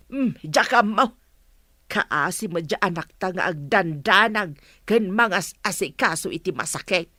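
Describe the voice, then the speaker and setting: Woman acting lively, reproachful Ilocano village mother. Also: lowish voice, measured pace, no room sound, studio quality, exaggerated voice. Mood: reproachful, concerned. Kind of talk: Ilocano radio drama acting.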